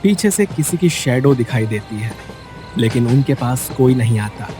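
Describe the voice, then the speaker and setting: A man's voice: mid-range voice, expressive, moderate pace. A man reading a scripted bedtime story in a dramatic storytelling style, as narrator. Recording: music bed behind the voice. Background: background music.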